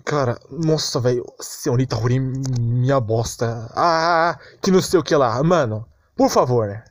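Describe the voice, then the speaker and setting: A man's voice: mid-range voice, unhurried, slight background noise. A man with a Brazilian Portuguese accent giving an informal, exasperated rant as an anime commentator, repeating himself and using lots of slang.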